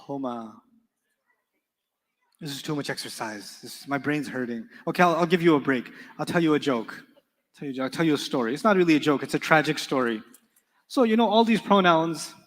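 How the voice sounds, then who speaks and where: A man with a fairly high voice teaches a live class. His first language is Urdu, and he keeps it playful, drilling students and telling stories. The sound carries a long reverb from a big lecture hall. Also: brisk. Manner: playful, joking, quizzes students in call-and-response. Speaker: Arabic teacher.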